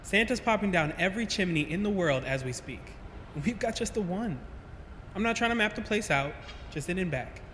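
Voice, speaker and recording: A man's voice: high-pitched, animated, moderate pace, a bit bright. A man playing an eager, impatient, cheeky scientist in an audio drama, with a pushy, jokey delivery. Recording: long reverb, faint background noise.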